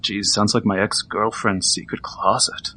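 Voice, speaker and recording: A man's voice: fairly low pitch, level tone, a little slow, bright, forceful tone, a little hiss or hum. A man playing a joking, explosives-loving teammate in an audio drama, with a casual, wisecracking manner.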